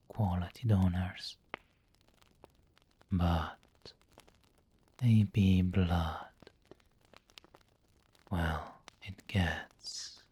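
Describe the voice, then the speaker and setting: A man playing a refined, doting, possessive vampire lord in an ASMR roleplay, breathy and whispery, with a genteel, coaxing manner. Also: low-pitched, dark tone, slight background noise. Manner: slow, genteel, coaxing endearments.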